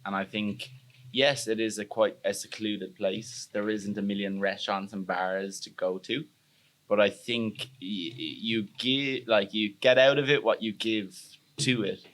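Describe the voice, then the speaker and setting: A young man with an Irish accent and a deep, low voice. He talks earnestly in a loose, rambling way and often restarts his sentences. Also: fairly even intonation, measured pace, a bit bright, a little hiss or hum. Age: young adult. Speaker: podcast guest. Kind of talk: casual interview chat.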